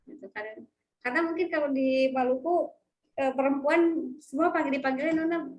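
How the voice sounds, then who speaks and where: A woman's voice: high voice, fairly even intonation, normal speed, rough recording. A woman lecturer teaching an online class over a video call, asking students lots of questions and checking in with tag questions.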